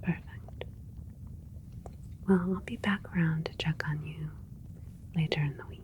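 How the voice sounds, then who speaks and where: A woman playing a gentle alien scientist in an ASMR medical roleplay, whispering in a breathy, soothing, politely clinical way. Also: sits low, fairly even intonation, a little slow, highs cut off, slight background noise. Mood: soothing, caring.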